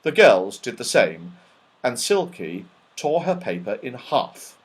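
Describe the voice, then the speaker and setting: A man reading a children's story aloud as scripted narration, in an expressive, dramatic storytelling manner. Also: medium pitch, slow pace, breathy edge. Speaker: audiobook reader.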